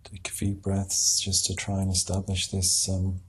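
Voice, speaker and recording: A man leading a guided meditation as a teacher, speaking in an unhurried, halting way with long pauses. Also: low-pitched, monotone, a bit bright, breathy edge.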